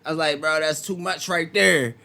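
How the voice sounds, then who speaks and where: A young rapper man with a Philadelphia accent talks casually and animatedly, his voice sitting a little higher than most men's. He tells his stories in loose bursts, and his voice jumps up when he gets excited. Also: normal speed, vocal fry. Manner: animated, laughing storyteller. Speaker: rapper guest. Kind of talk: casual podcast chat.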